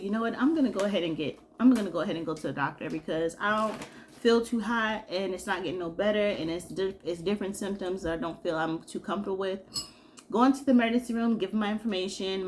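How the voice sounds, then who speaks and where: A sick, congested young woman from Georgia chatting casually in a vlog, telling a story in an animated way and acting out what people said. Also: medium pitch, slight background noise. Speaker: vlogger.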